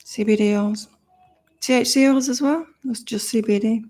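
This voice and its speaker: A woman's voice: a little high, moderate pace, darkish tone. A middle-aged woman from the UK hosting a casual live psychic chat, rambling and repeating herself as she reads out viewer comments. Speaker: psychic livestream host.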